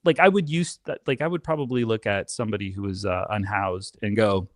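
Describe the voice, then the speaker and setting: A man speaks as an interview guest, sharing personal stories in a casual, conversational style. His delivery is animated and emphatic, often cutting into his own sentences. The sound is dry, with very little room in it. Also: lowish voice, brisk, dry recording. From Canada. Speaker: podcast guest.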